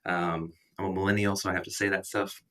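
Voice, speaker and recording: A man in his thirties hosting a casual livestream, his voice raspy from allergies and a stuffy nose, rambling and joking about himself. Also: low voice, flat delivery, brisk, fairly bright tone, far from the mic. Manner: rambling, self-deprecating joking. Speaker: livestream host.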